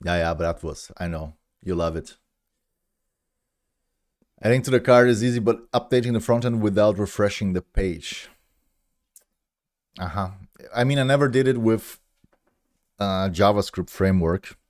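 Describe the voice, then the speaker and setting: Low-voiced man with German accent. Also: normal speed, pauses often, darkish tone. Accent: German-accented English. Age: 30s. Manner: rambling, chuckles at himself, many restarts. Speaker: live-stream host. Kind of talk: casual chat with viewers.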